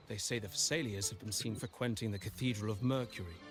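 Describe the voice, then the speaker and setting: A man's voice: fairly low pitch, a little fast, lightly acted. An actor playing a polite, earnest businessman of a wealthy merchant family in a video game, speaking formally and explaining carefully. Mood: concerned, indignant. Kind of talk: scripted video game dialogue. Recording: game music behind the voice. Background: video game music.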